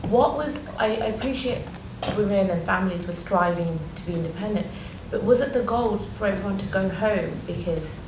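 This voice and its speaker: A woman from Bangladesh with a gravelly, creaky voice puts a tentative audience question, restarting as she goes. She sounds far from the microphone in a noisy room. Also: medium pitch, normal speed, hardly any pauses. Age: adult. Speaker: audience member asking a question. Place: indoor public exhibition discussion. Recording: echoey, noisy, distant from mic.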